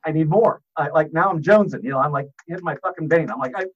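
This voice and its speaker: A man's voice: a little high, fast, muffled recording, crowd noise. A man on a podcast as a guest, telling rambling, profane stories and laughing at his own jokes. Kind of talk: casual podcast chat, storytelling.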